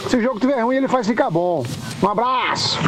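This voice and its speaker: Male Brazilian sports radio listener, high voice, exclamatory voice message full of enthusiasm, music behind. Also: animated, fairly quick. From Maceió, Brazil. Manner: effusive, exclamatory. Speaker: listener sending audio message. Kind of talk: effusive personal voice message.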